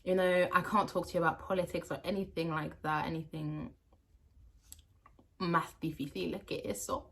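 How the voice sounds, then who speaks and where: A young woman from France with a London accent and French as her first language, giving language-learning tips to camera in a chatty, animated way. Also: lowish voice, moderate pace. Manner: chatty and animated, with playful asides. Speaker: language vlogger.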